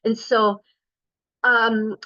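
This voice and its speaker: A middle-aged woman with a bright, forceful voice speaks earnestly and at length. She pauses often with ums and uhs while introducing herself to a community group. The sound is dull and lo-fi, like a recorded meeting. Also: fairly high pitch, level tone. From Denver, Colorado. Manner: earnest, talkative, many fillers. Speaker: state transportation commissioner.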